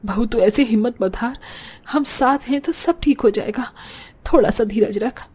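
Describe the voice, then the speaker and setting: Cartoon role woman performing: older mother-in-law, long-suffering, protective, earnest lament, fairly high voice. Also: a little slow, a little mellow, husky. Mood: worried, reassuring.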